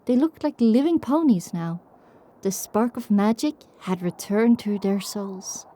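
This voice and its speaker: Woman, audio drama narrator, dark mellow timbre, medium pitch, scripted storytelling. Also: lively intonation, measured pace.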